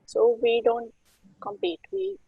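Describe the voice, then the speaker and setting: A woman panelist speaks with an animated delivery full of sharp pitch leaps. Her fairly high voice is gravelly and husky. The sound is dry and close. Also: takes it slowly, no echo, lo-fi sound. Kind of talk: panel discussion.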